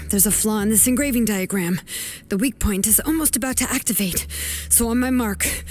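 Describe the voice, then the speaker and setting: A woman voicing a composed, watchful heroine in a video game, speaking in a measured, grave and commanding way. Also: mid-range voice, natural voice. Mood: grave, focused. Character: composed, watchful young heroine who works with engraved inscriptions. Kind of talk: dramatic video game voice acting. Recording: loud music behind the voice.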